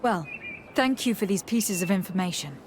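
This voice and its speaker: A woman playing a courteous young noble diplomat in a video game, asking questions in a polite, measured way. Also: mid-range voice, lively intonation, slight background noise, natural voice. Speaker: investigator.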